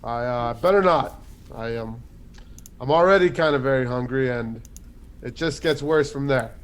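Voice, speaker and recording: A man's voice: mid-range voice, normal speed, close to an everyday voice. Man doing blunt warrior, teasing, plain mid voice.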